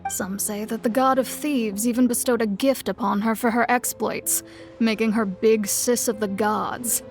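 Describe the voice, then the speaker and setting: A woman's voice: fairly high pitch, fairly even intonation, normal speed, lightly acted. A woman playing a polite young guild receptionist in a video game, speaking formally and courteously. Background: faint game music.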